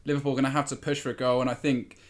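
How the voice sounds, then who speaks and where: A man's voice: mid-range voice, little pitch movement, very fast. Man talking through football analysis. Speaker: football podcast pundit. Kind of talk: analytical sports chat.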